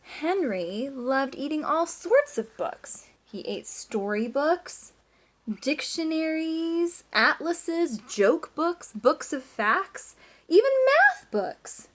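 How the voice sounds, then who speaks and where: A woman teacher doing an animated read-aloud of a children's story, with chatty asides and questions to young listeners. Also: high-pitched, takes it slowly. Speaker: teacher reading aloud to class.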